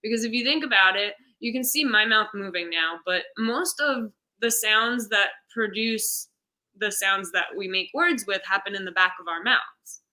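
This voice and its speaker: Female, American, interview guest. Very bright, forward voice, mid pitch, informal explanatory delivery. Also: moderate pace, poor audio. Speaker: guest, sign language interpreter and theater stage manager. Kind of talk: casual explanatory interview chat.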